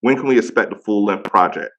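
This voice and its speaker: A man in his thirties with a deep voice, hosting a casual interview and praising his guest with slang. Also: fast, fairly bright tone, lo-fi sound. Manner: enthusiastic, slang-heavy praise. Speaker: podcast host.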